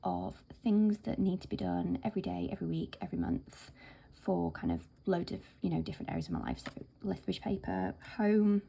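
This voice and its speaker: Croaky-voiced woman, hoarse and gravelly. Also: fairly low pitch, fairly quick, slight background noise. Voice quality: croaky, whispery. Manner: chatty, relaxed, many fillers. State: hoarse from illness. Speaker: planner vlogger. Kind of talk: casual chat to camera.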